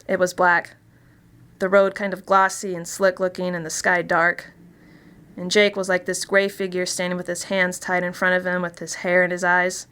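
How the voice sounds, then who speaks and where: A woman's voice: lowish voice, fairly bright tone, clean tone, close to an everyday voice. A woman actor playing a chatty, darkly gleeful killer confessing in a first-person mystery story, in a conversational, confiding way. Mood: somber recounting. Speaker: actor reading a short story.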